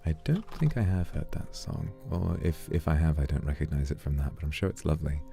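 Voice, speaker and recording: A man with an extremely low voice, dark and gravelly at the edges. He chats calmly and reflectively while streaming a game, pausing now and then to thank viewers for donations. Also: faint music behind. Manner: calm, reflective, earnest. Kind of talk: casual livestream chat while gaming.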